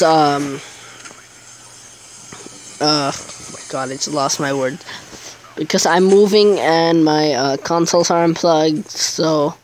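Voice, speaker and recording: A man's voice: fairly high pitch, a little hiss or hum, poor audio. A teenage boy chatting casually over his gameplay video, rambling and hesitant, with many fillers. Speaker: gaming YouTuber.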